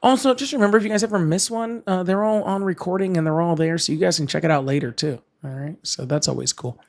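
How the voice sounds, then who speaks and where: A slightly higher-voiced man hosting a political livestream and taking callers. He talks in an animated, chatty way, laughs easily and keeps checking in with "all right?" as he reads chat comments aloud. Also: fast, vocal fry. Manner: argumentative with callers. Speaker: livestream host. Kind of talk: casual livestream call-in chat.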